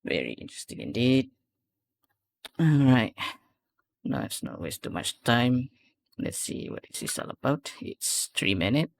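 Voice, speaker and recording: A man's voice: medium pitch, big pitch swings, a little slow, creaky, long pauses. A man giving casual reaction commentary on game trailers, rambling and repeating words for emphasis. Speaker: reaction video host. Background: music from the trailer.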